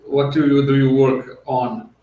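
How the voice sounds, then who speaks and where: A man's voice: mid-range voice, level tone, measured pace, mellow. A man from Portugal, hosting an interview in a chatty, joking way. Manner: chatty and joking, laughs between remarks. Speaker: interview host. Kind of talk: casual interview over a call. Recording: roomy, from a distance off the mic.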